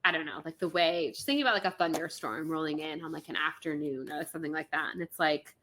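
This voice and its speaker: Young woman, Florida native, fast-talking casual co-host; lowish, bright voice. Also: slight background noise. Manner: quick, chatty, with many likes and ums. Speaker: podcast co-host. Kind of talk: casual chat.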